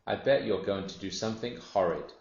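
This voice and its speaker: A man's voice: on the low side, fairly quick, creaky, a little mellow. A gigantic hippopotamus from a children's storybook , put on by a man, nosy and full of indignant disapproval. It exclaims its words in a roomy recording with dull sound. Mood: dismayed. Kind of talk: children's storybook character reading.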